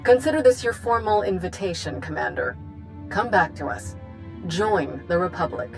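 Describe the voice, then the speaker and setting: An actress playing a brisk, confident, wry woman chancellor in a science fiction video game. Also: on the low side, animated. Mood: wry, persuasive. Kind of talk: video game cutscene acting. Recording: music under the voice.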